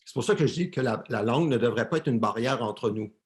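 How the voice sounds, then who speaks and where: Man, church interpreter, mid-pitched voice, repeats words, self-corrects mid-phrase. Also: fast talker. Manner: searching, repeating words as he translates. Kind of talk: live sermon interpretation.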